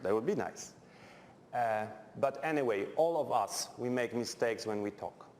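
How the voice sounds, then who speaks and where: A Polish man with a noticeable Polish accent lectures in English, his second language, in a blunt, dryly humorous way. His pitch sits at an ordinary middle level for a man. The sound carries the long reverb of a lecture hall over a faint background hum. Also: expressive, moderate pace, darkish tone. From Poland. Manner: blunt, direct, dry humour, simple phrasing. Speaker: chemistry lecturer. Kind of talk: university lecture.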